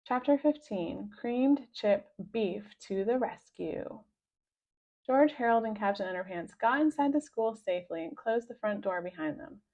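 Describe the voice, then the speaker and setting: A woman reading aloud a children's story with expressive scripted narration, on a slightly dull recording. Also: medium pitch, a little slow. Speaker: storybook reader.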